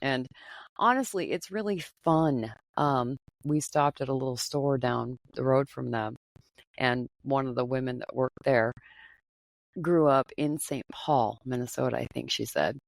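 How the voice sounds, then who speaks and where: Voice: medium pitch, a little slow, gravelly, dry recording. Reflective podcast host in their fifties. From Maine, US. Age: 50s. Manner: conversational, reflective, lightly teasing. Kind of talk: casual interview chat.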